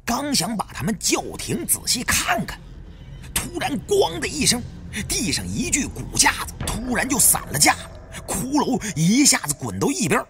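A man reading dramatic first-person audiobook narration with an expressive storyteller's delivery. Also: extremely high pitch, normal speed.

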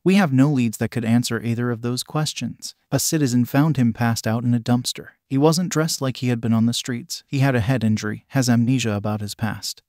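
A man voicing a gruff, weary but protective male teacher in a story reading, curt and deadpan. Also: mid-range voice, a little fast, few pauses, no room sound, clean studio sound, lightly acted. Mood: concerned. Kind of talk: acted dialogue in story reading.

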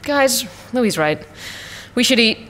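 An actress playing a calm, wry, practical squad leader in an audio drama, with a dry, measured manner. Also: female voice, fairly high pitch.

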